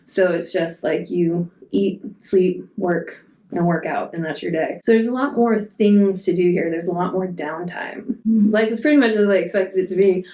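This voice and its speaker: A woman's voice: on the low side, fairly even intonation, moderate pace, mellow, muffled recording. Matter-of-fact woman in a roomy space. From Massachusetts, USA. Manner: rambling, matter-of-fact, many fillers. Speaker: Antarctic program support worker. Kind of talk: casual interview talk.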